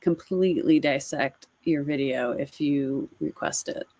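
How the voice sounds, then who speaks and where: A woman's voice: sits low, measured pace, warm tone. A woman co-hosting a podcast, chatting casually and in a friendly way as she introduces videos, laughing easily. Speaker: podcast co-host. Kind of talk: casual chat reacting to videos.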